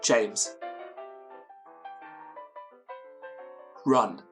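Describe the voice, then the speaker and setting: An actor playing a haunted, frightened man, telling his tale in halting, theatrical, literary phrases for a gothic audio drama. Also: medium pitch, a bit bright, faint music behind. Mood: ominous, resolved. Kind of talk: gothic audio drama, first-person storytelling.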